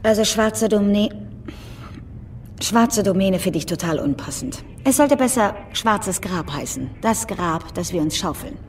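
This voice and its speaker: Actress as a brisk, outspoken woman. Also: mid-range voice, lively intonation, slight background noise, close to an everyday voice. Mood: opinionated, persuasive. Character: brisk, outspoken woman business confidante in a future world. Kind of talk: German radio drama acting. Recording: studio radio drama with faint music.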